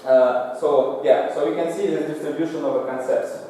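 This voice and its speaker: A man giving a technical lecture with slides in a lecture room, speaking halting, non-native English. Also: fairly high pitch, fairly even intonation, dark tone. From Singapore. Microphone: far from the mouth, room pickup. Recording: echoey hall, distant mic, faint noise.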